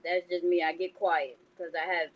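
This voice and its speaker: A woman from New York co-hosting a podcast, with a high, childlike voice and a blunt, forceful way of talking. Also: little pitch movement, normal speed. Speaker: podcast co-host. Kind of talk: casual chat.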